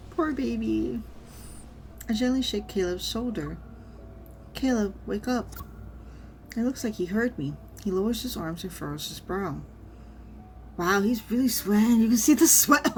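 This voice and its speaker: A woman streamer reading a game story aloud and reacting casually, in an expressive manner. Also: fairly high pitch, slight background noise.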